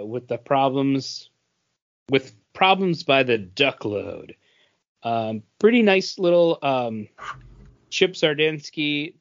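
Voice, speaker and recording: A man in his forties co-hosting a podcast, talking casually with plenty of um and uh fillers. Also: a little high. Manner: unhurried, many um and uh fillers. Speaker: podcast co-host. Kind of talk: casual chat. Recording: slightly dull lo-fi audio.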